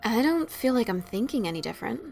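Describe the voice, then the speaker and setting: Flustered adventurer pony, voiced by a woman: highish, creaky, halting, sheepish, easily embarrassed. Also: very animated, darkish tone, faint music behind. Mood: sheepish, curious. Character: awkward, flustered young adventurer mare. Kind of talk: fan-fiction dramatic reading.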